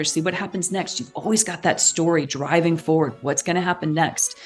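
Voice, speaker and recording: A woman guest explaining things conversationally and expressively, acting out little examples as she goes. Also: lowish voice. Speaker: podcast guest, artistic director and writer.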